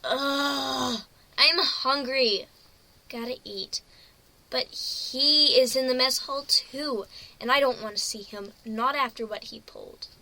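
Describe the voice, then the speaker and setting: Woman acting a high, bright-voiced excitable young female pony. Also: takes it slowly, full character voice, poor audio. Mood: distraught, self-reproachful. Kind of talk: dramatic reading of a fan fiction story.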